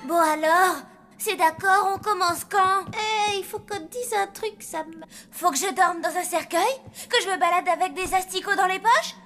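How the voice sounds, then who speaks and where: An excitable cartoon child with an extremely high, youthful voice. The kid is eager and a bit boastful, speaking in exclamations over prominent music. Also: female voice, moderate pace. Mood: hesitant. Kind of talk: animated cartoon dubbing. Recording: loud music behind the voice.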